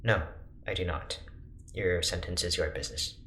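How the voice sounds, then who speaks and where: A man playing a reserved, formal doctor in an audio drama, speaking in a measured, precise, clinical way. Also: low voice, pushed and bright, echoey room, slight background noise. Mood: guarded. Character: reserved, formal male doctor recording patient notes. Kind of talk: naturalistic audio drama acting.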